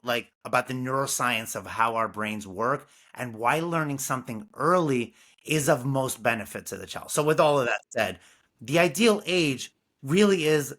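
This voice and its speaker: A man's voice: mid-range voice, animated, moderate pace, a bit bright. A man from Brooklyn, a music curriculum founder talking earnestly and animatedly as a podcast guest. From Brooklyn, New York. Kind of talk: casual interview talk.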